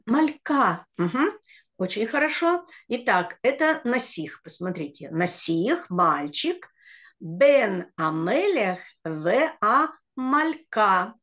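A woman's voice: on the high side, sing-song, slow, a bit bright. A middle-aged woman teaching a children's reading lesson over a video call, explaining word by word with patience. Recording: dull lo-fi video call audio.

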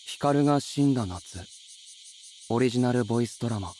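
A man's voice: medium pitch, normal speed, a little mellow, a little hiss or hum, natural voice. A man playing a gloomy, wary teenage boy in a voice comic, speaking tersely with a Mie accent. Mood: plain. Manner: terse, subdued. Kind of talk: voice comic drama acting.

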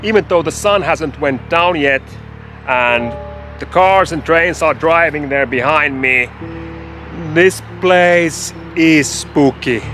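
Slow-talking man vlogging outdoors at arm's length. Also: a little high, fairly bright tone, faint music behind. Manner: conversational storytelling with fillers, spooky asides. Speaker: hiking vlogger. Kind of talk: outdoor vlog talking to camera. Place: outdoors in woods by a road and railway. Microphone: handheld camera at arm's length.

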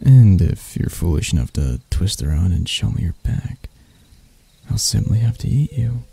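A man voicing a sadistic, seductive male spider-elf predator in intimate ASMR roleplay, breathy and teasing with his endearments. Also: sits low, big pitch swings, mellow, dry recording, heavily acted. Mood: predatory, teasing. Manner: slow, teasing, purring endearments.

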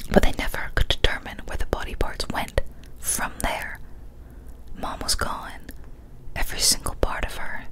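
A young woman whispers a horror story in an ASMR style. Her voice is breathy and unvoiced, kept soft and hushed right up at a studio microphone. She reads with careful, deliberate phrasing. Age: young adult. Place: small decorated indoor room. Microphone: large studio microphone, close up.

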